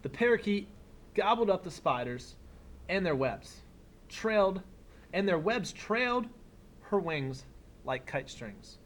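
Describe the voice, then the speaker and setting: A man teaching young children, reading a picture book aloud expressively and pausing for simple explanatory asides. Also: high-pitched, very animated, slight background noise. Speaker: elementary school teacher.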